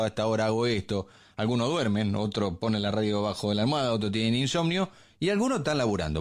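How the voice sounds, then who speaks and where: Middle-aged Argentine man hosting radio chat. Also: medium pitch. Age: around 50. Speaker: radio host. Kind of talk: casual live radio chat.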